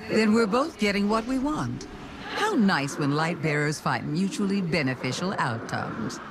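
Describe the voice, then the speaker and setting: A woman's voice: on the low side, sing-song, measured pace, very noisy. A woman playing a scheming, mocking alien witch queen in a game, with a sly, theatrical, taunting delivery. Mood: smug, mocking. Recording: loud music and effects behind.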